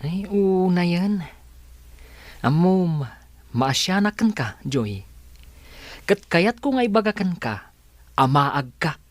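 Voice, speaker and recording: A man's voice: sits high, very animated, takes it slowly, long pauses, dry recording, exaggerated voice. An actor playing a concerned, persistent young male neighbour in a radio drama, with an earnest, insistent delivery.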